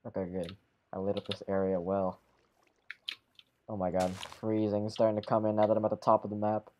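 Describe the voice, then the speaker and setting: Male gamer, low-ish voice, casual rambling chat with quick exclamations. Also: completely flat delivery, a little mellow, slight background noise. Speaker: game player.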